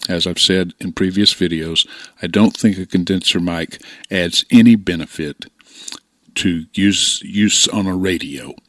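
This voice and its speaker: Older male hobbyist presenter, fairly deep voice, plain-spoken and informal instruction, dry close-miked sound. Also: a little slow, fairly bright tone, dry recording. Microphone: close desktop microphone, voice-over.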